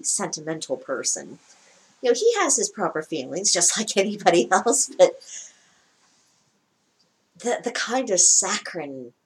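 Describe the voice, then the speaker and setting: A woman with a lower-pitched voice giving casual spoken commentary as a vlogger, wry and chatty, often laughing mid-sentence. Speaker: vlogger reacting to a broadcast.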